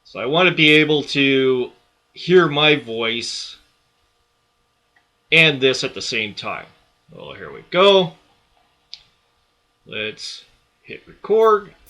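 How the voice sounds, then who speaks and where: Guy walking through a recording software tutorial, takes his time, lots of uhs, bright tone. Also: mid-range voice, very animated. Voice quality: loud voice. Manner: unhurried step-by-step explaining with many fillers. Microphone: desktop studio microphone.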